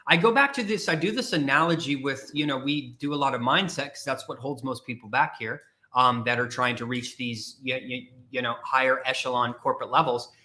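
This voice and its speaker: Emphatic, bright-voiced male career coach. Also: medium pitch, brisk, few pauses. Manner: emphatic and repetitive, challenging the listener directly. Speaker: podcast host and career coach. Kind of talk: motivational livestream talk.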